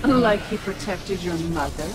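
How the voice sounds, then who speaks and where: A woman's voice: mid-range voice, lively intonation, moderate pace, noisy background, lightly acted. An actress playing a sinister, taunting, imperious witch in a video game. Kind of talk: video game fight intro dialogue. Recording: loud music and game effects behind.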